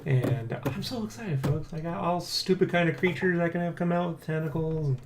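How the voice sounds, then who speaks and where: A man hosting a development blog in casual, excitable, rambling chat, jokily boastful and swearing freely. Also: a little high, normal speed, heavy background noise. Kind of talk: casual vlog chat, addressing viewers.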